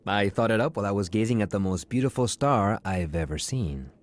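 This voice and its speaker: An actor playing an effusive, amorous copywriter with a florid, theatrical manner in an audio drama. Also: male voice, lowish voice, brisk, close to an everyday voice. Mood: grandly romantic. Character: gushing, amorous Brazilian male ad copywriter.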